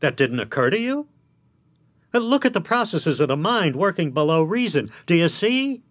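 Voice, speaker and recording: Radio drama role: blunt, articulate male defence lawyer, higher-than-average voice, very lively intonation, emphatic and lecturing. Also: normal speed. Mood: forceful, persuading.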